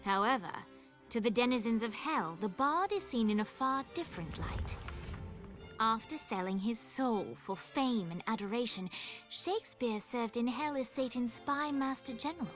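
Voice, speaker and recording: A woman playing a composed storybook narrator, telling game lore in a measured, scripted way. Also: a little high, lightly acted. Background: music.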